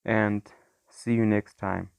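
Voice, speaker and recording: A man who is a non-native English speaker, hosting an informal software tutorial in simple, short, halting phrases. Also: fairly low pitch, little pitch movement, vocal fry, clear, resonant tone, dry recording, clean studio sound. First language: not English. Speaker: tutorial host.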